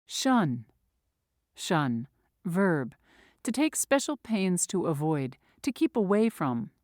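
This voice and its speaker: Slow, deliberate woman reading vocabulary. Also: fairly low pitch, sing-song, a little mellow, dry recording, clean studio sound. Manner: reads each word twice, then its meaning and an example sentence. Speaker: vocabulary reader.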